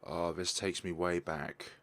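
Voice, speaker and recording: A young man chatting casually as he plays a story game and thinks aloud with excitement. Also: deep voice, measured pace. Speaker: gaming YouTuber. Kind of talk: casual let's-play commentary.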